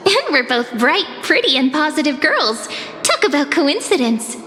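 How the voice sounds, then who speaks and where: Enigmatic, kindly young woman for a game; high voice, knowing reassurance, hall reverb. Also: sing-song, moderate pace, sharp tone, slight background noise, exaggerated voice. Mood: playful. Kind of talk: video game voice acting. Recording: long reverberant hall effect.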